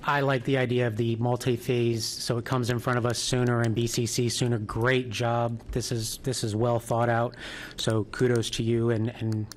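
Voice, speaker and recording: A man chairing a public hearing, calling on commissioners and running votes in a brisk, procedural way. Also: medium pitch, flat delivery, slight background noise. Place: county hearing chamber.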